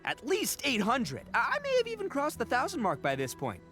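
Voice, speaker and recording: A brash boasting oni boss. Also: male voice, extremely high pitch, wildly swooping pitch, brisk, pushed and bright, faint music behind, exaggerated voice. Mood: boastful. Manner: boastful, hearty, laughs often. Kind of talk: game cutscene voice acting.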